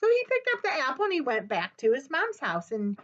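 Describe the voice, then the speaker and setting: An older woman who works as a children's librarian, reading a story aloud in an animated, sing-song way, recorded on a webcam in a small office. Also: high-pitched, a little fast. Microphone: webcam at desk. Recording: dull webcam audio.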